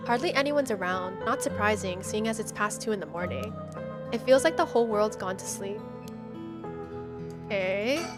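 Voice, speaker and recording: A woman's voice: medium pitch, expressive, moderate pace, long pauses, music in the background. A woman livestreaming a game, reading its text aloud and reacting in casual slang. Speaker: streamer playing a horror visual novel.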